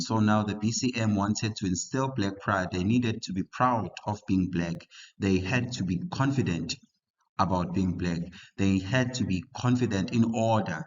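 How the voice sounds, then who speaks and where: A young man from South Africa giving a casual history study lesson to learners, halting with frequent fillers and restarts. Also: deep voice. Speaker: history tutor. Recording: muffled lo-fi recording.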